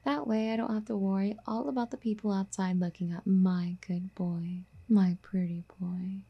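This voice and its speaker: A woman playing a possessive, doting yandere girlfriend in a soft, intimate ASMR roleplay, speaking in a coaxing, whispery, sweetly affectionate way. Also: medium pitch, fairly even intonation, moderate pace, breathy edge, lo-fi sound.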